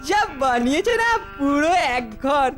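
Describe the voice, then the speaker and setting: High, cocky cartoon sidekick boy. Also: lively intonation, a little slow, soft background music. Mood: cocky teasing. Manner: teasing, boastful. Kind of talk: children's cartoon dubbing.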